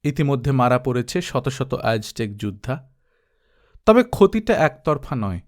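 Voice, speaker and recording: Guy reading a war adventure story out loud, steady and dramatic, mid-pitched voice. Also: unhurried. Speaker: audiobook narrator.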